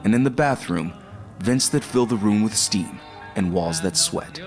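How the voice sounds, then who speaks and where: A man with a fairly low voice delivers scripted narration in a measured, dramatic storyteller style. Guitar music plays clearly underneath. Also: animated. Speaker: narrator and show host.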